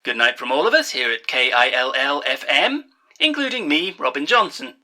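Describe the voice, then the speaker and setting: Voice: mid-range voice, sing-song, moderate pace, bright, forceful tone, full character voice. A performer playing a late-night radio host, reading a scripted sign-off and credits in a theatrical announcer manner. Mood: showy, courteous.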